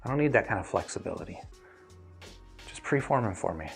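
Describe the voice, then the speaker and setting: A man's voice: deep voice, lively intonation, vocal fry. Rambling man livestreaming over music. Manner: rambling, halting, thinking aloud. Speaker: host, audio gear reviewer. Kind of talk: casual livestream chat with viewers.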